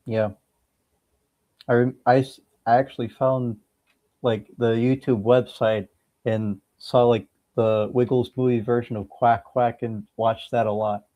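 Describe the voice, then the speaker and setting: A man's voice: medium pitch, level tone, a little slow, mellow. A young man chatting casually as an interview guest, hesitant and sparing with his words. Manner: hesitant, laconic. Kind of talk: casual chat. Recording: dull lo-fi sound.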